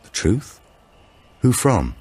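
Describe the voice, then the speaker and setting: A man playing an anxious father who questions his small daughter in a quiet, uneasy voice in an audiobook. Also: medium pitch, big pitch swings, slow, husky, pitched above the performer's own voice, heavily acted. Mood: gentle, probing.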